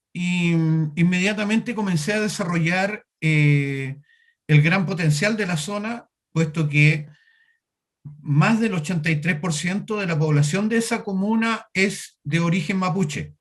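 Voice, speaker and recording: A Chilean man on a video call, his voice sitting a little high for a man. He tells his story at length, hesitating often and circling back to restart his sentences. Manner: halting, many fillers and restarts, storytelling. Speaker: interview guest. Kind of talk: conversational interview over a video call.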